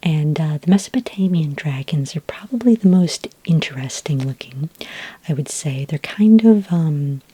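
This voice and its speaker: A woman playing a patient, helpful fantasy dragon ranch expert in a whispered, breathy, hesitant ASMR roleplay. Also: deep voice, animated, dark tone. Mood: gentle, helpful. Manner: hesitant, unhurried explaining, frequent ums.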